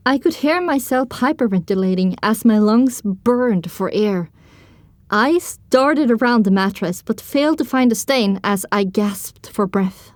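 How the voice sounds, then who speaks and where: A woman's voice: on the high side, lively intonation, measured pace, no echo. A woman narrating an audio drama in the first person as a sensitive young pony filly, in a scripted storytelling style. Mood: anxious.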